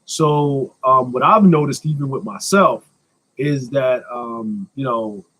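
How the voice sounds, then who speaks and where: A man's voice: mid-range voice, slow, a bit bright, rough recording. An American man in his thirties chatting casually as a live stream host, answering questions in a blunt, slangy, joking manner with some swearing. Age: 30s.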